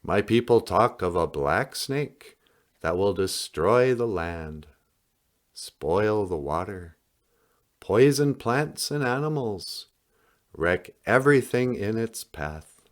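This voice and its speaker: Man, low voice, slow measured delivery, solemn dramatic storybook reading, music underneath. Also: animated. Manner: measured, with deliberate pauses. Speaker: picture book reader.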